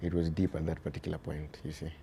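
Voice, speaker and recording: Deep-voiced Kenyan man, earnest. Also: level tone, fast talker, vocal fry, dark tone, slight background noise. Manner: earnest, mixes English with Swahili, tags "you see". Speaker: guest, father of boys. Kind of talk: reflective conversational interview.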